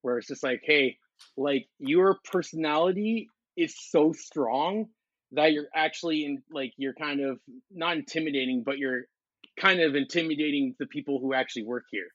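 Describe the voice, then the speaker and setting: A loud, intense young man, bursting with excitement as he chats on a podcast. His voice comes through dull and muffled, with no room sound at all. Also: a little high, moderate pace, no room sound. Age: young adult. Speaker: guest. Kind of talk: casual podcast chat.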